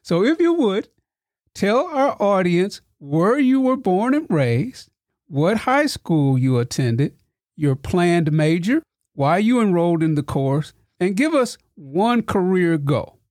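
A man's voice: high voice, sing-song, slow, a little mellow, no echo. Enthusiastic male podcast host, dry sound. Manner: enthusiastic, formal introductions. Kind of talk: scripted podcast interview.

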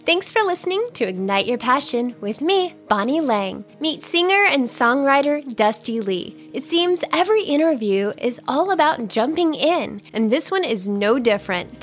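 A woman hosts a podcast interview, gushing over her guest with bubbly enthusiasm. Her voice sits high. Faint music plays softly beneath her. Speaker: podcast host.

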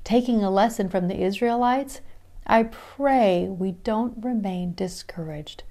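A woman's voice: mid-range voice, unhurried, mellow. A woman with a Midwestern American accent hosting a daily Bible reading podcast, talking in an earnest, encouraging way and reading scripture passages aloud. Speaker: podcast host. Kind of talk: devotional Bible study talk.

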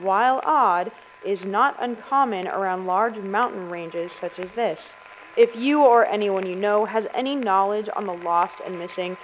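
A woman's voice: mid-range voice, a little hiss or hum, close to an everyday voice, rough recording. A woman acting a composed public radio host reading a formal, scripted news report in an audio drama. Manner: measured, formal, announcer-like.

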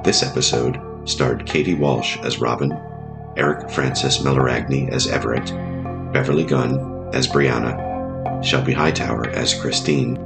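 A man's voice: very deep voice, fairly even intonation, measured pace, vocal fry, over loud music. A man reading scripted end credits as an announcer for an audio drama.

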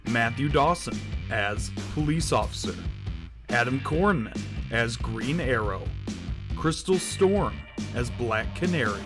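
A man reading scripted audio drama credits as an announcer. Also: medium pitch.

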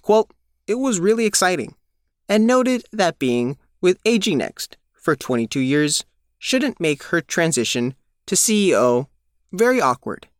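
A man's voice: sits high, very animated, unhurried, no room sound, clean studio sound. A man narrating a scripted news feature as a reporter.

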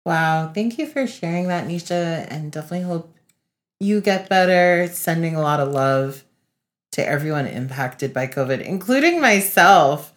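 A woman's voice: sits low, animated, moderate pace. A woman co-hosting a podcast, talking casually in an earnest, introspective way. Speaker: podcast co-host, facilitator and speaker. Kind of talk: casual reflective podcast chat.

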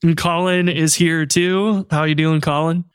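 A man hosting a casual podcast chat, relaxed and chatty, laughing often and filling his talk with "like". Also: fairly high pitch, measured pace, smooth, no room sound, clean studio sound. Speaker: podcast host.

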